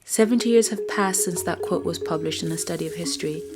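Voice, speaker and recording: Low-voiced Zambian woman narrating. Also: fast. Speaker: film narrator. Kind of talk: scripted documentary narration. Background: background music.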